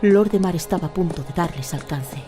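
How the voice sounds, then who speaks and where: A woman narrating a scripted audio drama in a measured, dramatic storytelling manner. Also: lowish voice, music in the background.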